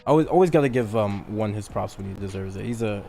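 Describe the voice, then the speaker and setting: A man's voice: on the low side, lively intonation, fast talker, vocal fry, warm tone, soft background music. A man in his twenties chatting casually as a podcast co-host, rambling through explanations in slangy language. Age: 20s. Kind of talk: casual chat about anime and manga.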